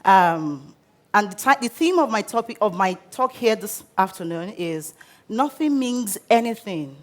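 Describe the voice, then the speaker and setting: A Nigerian woman in her thirties telling her own life story on stage, earnest and measured with pauses. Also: mid-range voice. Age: 30s. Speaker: speaker. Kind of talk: personal story talk on stage. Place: stage before a live audience.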